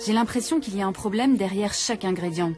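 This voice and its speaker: A woman's voice: medium pitch, fast talker, music in the background. A woman from France, a journalist, reading scripted first-person narration for a documentary.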